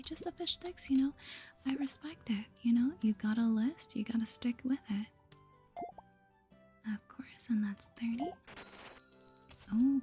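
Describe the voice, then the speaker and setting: A woman speaks in a soft, mellow whisper, slightly high in pitch, in an ASMR gaming style. Her manner is gentle and politely chirpy, like a friendly shopkeeper chatting with customers. Soft music plays behind her. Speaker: gaming video host. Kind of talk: soft-spoken whispered ASMR game playthrough.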